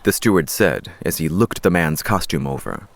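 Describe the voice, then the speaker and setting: A man narrating a fiction audiobook in a measured, dramatic storytelling style. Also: low voice, expressive.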